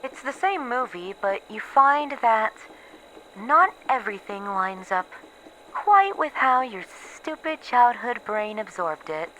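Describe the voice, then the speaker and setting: A woman playing a bitter, weary researcher losing her memories, in a halting, sardonic, sighing monologue for an ASMR horror roleplay. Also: a little high, big pitch swings, bright, forceful tone, slight background noise, heavily acted, rough recording. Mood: wistful, resigned. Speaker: voice actor in an audio roleplay.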